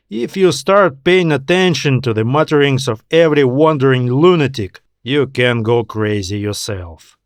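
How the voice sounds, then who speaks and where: A man reading a scripted story as an audiobook narrator, in a measured, deliberate storytelling manner. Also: medium pitch, no echo, clean studio sound. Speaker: author narrating own story.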